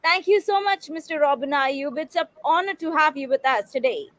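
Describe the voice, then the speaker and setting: Woman host, high voice, polite formal questions, dry close sound, video call. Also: normal speed, fairly bright tone, no echo. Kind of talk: interview host.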